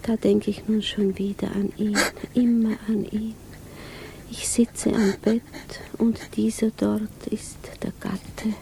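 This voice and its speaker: An actress in a 1950s radio drama playing an anxious, brooding woman who speaks in a hushed, introspective manner. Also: medium pitch, level tone, takes it slowly, creaky. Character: anxious, brooding provincial woman, inwardly troubled. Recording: noisy 1950s radio recording.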